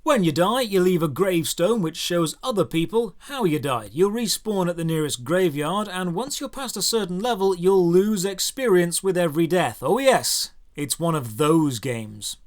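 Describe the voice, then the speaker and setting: A man narrating a scripted video game review as its host, with a dry, sardonic and deliberate way of putting things. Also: high-pitched, lively intonation.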